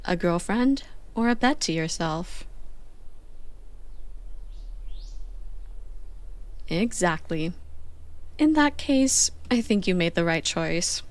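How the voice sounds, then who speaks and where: A woman playing a playful, bossy young girlfriend on a slow morning in an intimate, conversational ASMR roleplay. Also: on the low side, animated. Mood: playful teasing.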